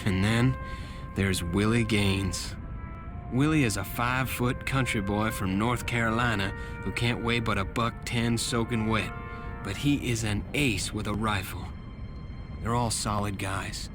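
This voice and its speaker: An actor playing a weary, earnest young American Marine in a war game, narrating a letter home. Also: male voice, on the low side, fairly even intonation, unhurried, natural voice. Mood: fond, reflective. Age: young adult. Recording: music playing behind the voice. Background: music.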